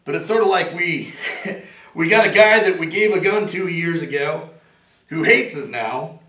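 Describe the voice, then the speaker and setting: A man in his forties with a raspy voice, an inventor talking in a casual, rambling and earnest way, sometimes joking about himself. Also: fairly high pitch, normal speed, a bit bright. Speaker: inventor and veteran interviewee. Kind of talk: casual interview talk. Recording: roomy echo, from a distance.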